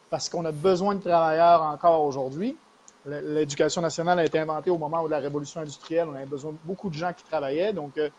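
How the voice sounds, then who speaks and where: A man's voice: high-pitched, a little mellow. A man with a Québécois French accent hosting a live stream, explaining money matters to viewers and addressing them directly. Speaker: host of a live stream show.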